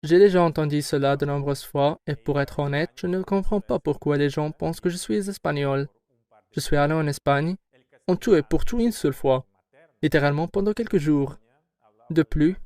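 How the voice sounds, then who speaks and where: A man's voice: on the high side, darkish tone, clean tone, no echo. Assertive man with a dry, close sound. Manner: measured, assertive, explanatory. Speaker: company head answering questions. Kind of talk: formal prepared interview answers.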